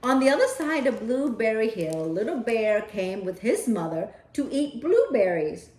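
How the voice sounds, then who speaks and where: A woman's voice: a little high, very animated, moderate pace, a little mellow, slight background noise. A woman storyteller reading a children's picture book aloud. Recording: roomy echo.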